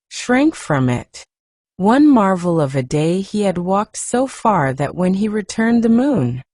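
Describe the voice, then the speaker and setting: Slow, soft-spoken woman narrating a story. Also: mid-range voice, no room sound, studio quality. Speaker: audiobook narrator.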